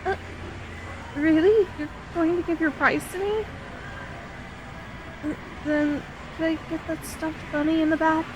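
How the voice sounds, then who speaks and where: Woman acting a high-voiced, sweet, bashful young woman. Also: fairly even intonation, a little slow, pauses often, background noise. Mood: excited, cute. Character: sweet, bashful young woman confiding in her best friend. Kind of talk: ASMR roleplay audio drama.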